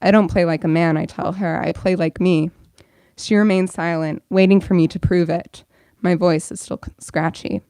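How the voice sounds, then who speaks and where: A woman's voice: on the low side, darkish tone, no echo, studio quality. A young woman, a bookstore events director, welcoming an audience and then reading her own fiction aloud at a store event.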